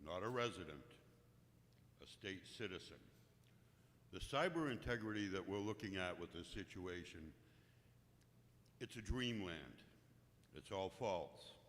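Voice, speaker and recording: A man with a booming voice, blunt and indignant, testifying as a citizen at a public hearing. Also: lively intonation, a little slow, pauses often, fairly bright tone, a little hiss or hum. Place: legislative committee hearing room. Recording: long hall reverb, off-mic at times.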